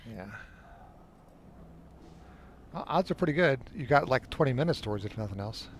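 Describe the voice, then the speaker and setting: A man's voice: mid-range voice, lively intonation, moderate pace, slight background noise. A man chatting casually while playing a game at home, with a dry, teasing manner. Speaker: streamer playing an online game. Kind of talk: casual gameplay chat.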